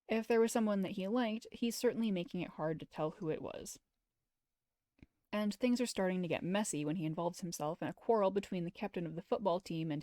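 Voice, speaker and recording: A woman streamer reading a visual novel aloud as expressive first-person story narration. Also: lowish voice, no room sound, clean studio sound.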